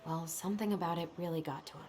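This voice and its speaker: A young woman with a lowish voice, thoughtful, firm and a bit skeptical , played by an actress. It is natural video game dialogue with faint noise behind. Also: steady tone, fast, close to an everyday voice.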